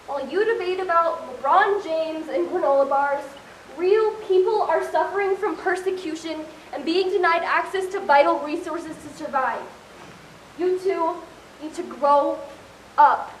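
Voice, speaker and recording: A woman's voice: very high-pitched, moderate pace. A young woman playing an exasperated, scolding peacemaker in an amateur one-act stage play. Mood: earnest, scolding. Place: indoor stage, recorded from a distance. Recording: echoey stage, far from mic, noisy.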